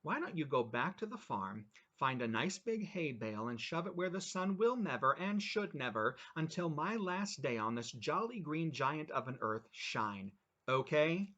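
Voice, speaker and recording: A man's voice: a little high, very animated, normal speed, a bit bright, dull audio, pitched above the performer's own voice. A man voicing an older farmer's wife who scolds a rude young man in a tart, blunt way. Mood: scolding.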